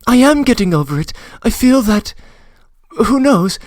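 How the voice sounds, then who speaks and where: A man's voice: very high-pitched, very animated, unhurried, dry recording, heavily acted. A man voicing a passionate young woman who pleads earnestly, close to tears, in an audiobook reading. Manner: trembling, earnest, breathless confession. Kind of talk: audiobook reading of a classic novel.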